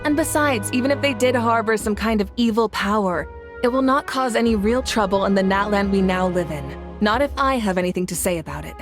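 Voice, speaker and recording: A woman playing a composed, rational and protective leader in a video game, measured and calmly authoritative. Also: mid-range voice, lightly acted. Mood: calm, reassuring. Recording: game music behind the voice.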